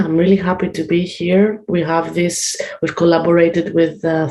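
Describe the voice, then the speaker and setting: A woman's voice: deep voice, darkish tone, poor audio. A woman, an artist and researcher, introducing herself on a video call in a friendly, tentative way. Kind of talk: casual self-introduction.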